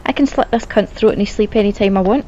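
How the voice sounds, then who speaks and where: An actress with a Scottish accent playing a crude, mocking gangster's wife. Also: female voice, mid-range voice, quick pace, mellow, lo-fi sound, slight background noise, lightly acted. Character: vicious, sharp-tongued Scottish gangster wife.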